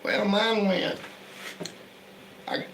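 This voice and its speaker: Hoarse man chatting in an echoey room. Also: high-pitched, very slow. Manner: relaxed, chatty, greets viewers by name. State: hoarse from a cold. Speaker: livestream host. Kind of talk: casual livestream chat. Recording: echoey room with faint background noise.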